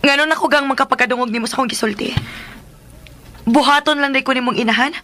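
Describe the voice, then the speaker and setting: An actress playing a wary sweetheart in a Philippine radio drama, coy and questioning, with a Cebuano Filipino accent. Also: female voice, high-pitched, quick pace, sharp tone. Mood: firm, doubting. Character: wary sweetheart woman bargaining for marriage.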